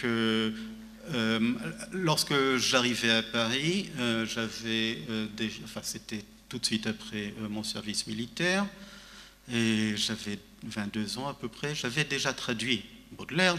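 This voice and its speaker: A man's voice: lowish voice, measured pace, bright, forceful tone. A man, a panel guest speaking thoughtfully at a literary festival, with many fillers and restarts. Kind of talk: panel discussion. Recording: reverberant hall.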